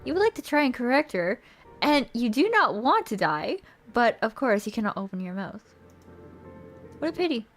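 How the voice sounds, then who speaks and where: A woman streamer reading a visual novel aloud as theatrical storybook narration, expressive and playful. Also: a little high, normal speed. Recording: music behind the voice.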